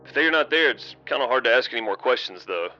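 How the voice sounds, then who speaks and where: An actor playing a brisk, skeptical partner in an audio drama, talking in a questioning manner. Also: male voice, mid-range voice, animated, highs cut off, soft background music, lightly acted.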